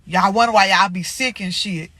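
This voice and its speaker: A woman's voice: mid-range voice, moderate pace, pushed and bright, no room sound. A woman hosting a spiritual channel, talking casually and bluntly to her viewers with slang and profanity, sounding a little congested. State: congested from allergies.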